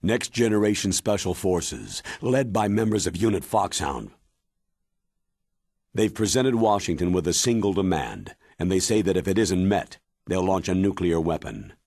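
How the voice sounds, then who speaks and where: An actor playing a stern military commander briefing an agent in a video game, grave and measured in manner. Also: male voice, sits low, lively intonation, a little mellow, no echo, heavily acted. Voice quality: husky, weighty. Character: stern, authoritative older military commander giving mission briefing. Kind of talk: dramatic video game cutscene acting.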